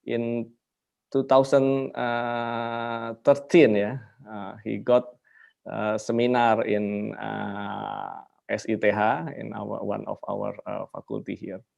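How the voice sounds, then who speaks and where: A man with a low, heavily gravelly voice introduces the guest at a university webinar over a video call. He speaks haltingly, with many fillers and restarts. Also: flat delivery, a little mellow, highs cut off. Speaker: webinar moderator, university host.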